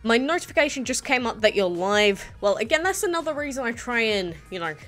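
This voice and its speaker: A lively young woman in her late teens, her voice bouncing up and down as she rambles on a live stream, sprinkling in "uh" and "basically". She answers chat messages one after another in a loose, chatty way. Faint music plays behind her. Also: a little high, a little fast. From England. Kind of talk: casual live-stream chat, reading chat aloud.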